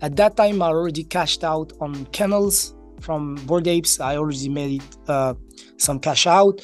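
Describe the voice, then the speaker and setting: A man's voice: on the high side, a little slow, faint music behind. A man from Morocco, speaking as an interview guest, casually telling stories and acting out bits of dialogue. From Marrakesh, Morocco. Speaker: interview guest, crypto entrepreneur. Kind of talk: casual conversational storytelling.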